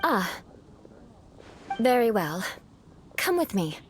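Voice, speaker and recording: A woman's voice: medium pitch, big pitch swings, slow, slight background noise. A woman playing a poised, haughty aristocratic young noblewoman in a video game, speaking formally and precisely, as if giving lofty instruction. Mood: lofty instructing.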